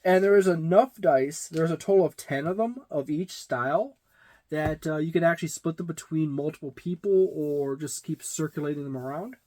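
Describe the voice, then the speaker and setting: A man in his forties giving a casual, unscripted product review with halting, deliberate phrasing. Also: fairly high pitch, a little mellow. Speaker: tabletop game reviewer. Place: small indoor room lined with shelves of games.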